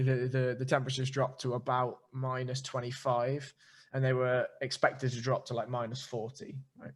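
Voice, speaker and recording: Animated, swearing podcast host. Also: male voice. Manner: animated, swears freely, pitches theories and questions. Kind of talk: casual interview chat.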